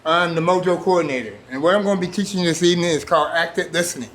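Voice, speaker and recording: Man, slightly high voice, workshop trainer, plain-spoken, informal, repeats key phrases. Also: rapid-fire, a bit bright, slight background noise. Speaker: trainer, program coordinator.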